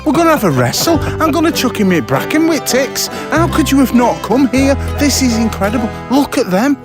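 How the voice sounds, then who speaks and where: A man chatting casually outdoors, excitable and full of exclamations. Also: very high-pitched, moderate pace, over music. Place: outdoors on location.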